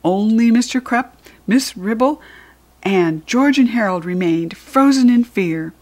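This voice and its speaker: A woman with an American accent reading a children's book aloud as animated storytelling. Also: a little high, a little slow.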